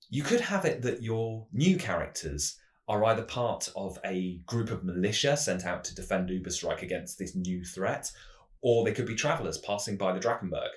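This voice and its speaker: Male tabletop game presenter, low-pitched, measured explanatory storytelling, roomy echoing sound. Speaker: tabletop roleplaying game channel host. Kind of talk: semi-scripted explanatory narration.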